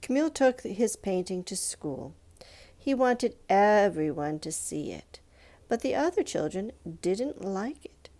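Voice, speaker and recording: A woman reading a children's picture book aloud in a measured, expressive storytelling manner, with pauses. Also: mid-range voice. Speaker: storybook reader.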